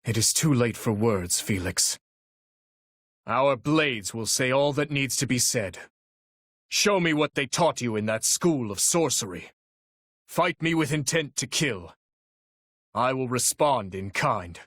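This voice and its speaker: A man's voice: mid-range voice, animated, fairly bright tone, dry recording, studio quality. A man voicing a noble, earnest young knight-commander in a video game, speaking formally and solemnly with resolve. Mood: reluctant, grave, then stern, defiant. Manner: formal, measured, earnest delivery. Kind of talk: dramatic video game voice acting.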